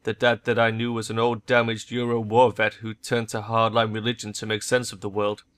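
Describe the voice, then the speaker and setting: A man voicing a cold, guarded cyborg woman in a cyberpunk game, in a cool, detached monotone. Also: lowish voice, a little fast, clear, resonant tone, lightly acted. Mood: cool, painful recall.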